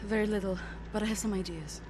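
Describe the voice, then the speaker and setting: An actress playing a methodical woman researcher in a video game, measured with small hesitations. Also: medium pitch, steady tone, background noise, natural voice. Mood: calm. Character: studious woman, calm and methodical. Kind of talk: video game cutscene acting.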